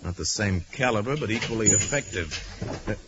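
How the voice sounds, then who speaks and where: A calm deep detective hero, old radio show, muffled and a bit hissy. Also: male voice, brisk. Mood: calm, focused. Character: calm, shrewd male detective hero, commanding and methodical. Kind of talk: 1940s radio drama acting.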